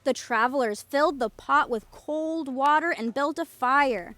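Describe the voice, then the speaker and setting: Woman, playschool storyteller, expressive picture-book reading, unhurried, emphatic, dry close sound. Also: high voice, no echo.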